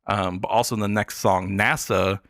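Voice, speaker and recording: A man chatting casually about the arts on a podcast, explaining things in a halting way with lots of filler words. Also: lowish voice, steady tone, fairly bright tone, dry recording, clean studio sound. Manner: hesitant, filler-heavy explaining. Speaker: podcast guest reviewing pop albums. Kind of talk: casual arts talk chat.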